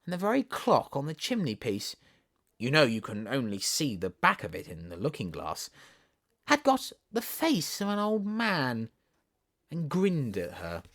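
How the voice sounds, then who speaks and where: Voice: mid-range voice, wildly swooping pitch, unhurried, fairly bright tone, no echo, clean studio sound. A narrator with a Southern English accent reading a children's storybook expressively. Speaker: audiobook narrator.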